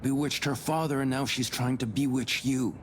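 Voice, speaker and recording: An actor playing an anxious, pious gentleman in a visual novel, formal and earnestly pleading. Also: medium pitch, steady tone, normal speed, a little hiss or hum, close to an everyday voice. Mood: pleading, fearful. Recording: voice over prominent background music.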